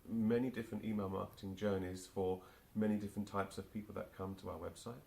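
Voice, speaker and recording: Interview guest, forties, London accent, low gravelly voice, halting delivery. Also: male voice, level tone, darkish tone, a little hiss or hum. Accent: London English. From England. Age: 40s. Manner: hesitant, many restarts and fillers. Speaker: marketing automation specialist, guest. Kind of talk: casual interview answers.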